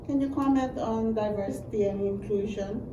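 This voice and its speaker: Older woman interviewer, deliberate questioner, roomy distant sound with background noise. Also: mid-range voice. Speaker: host. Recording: echoey room, distant mic, noise.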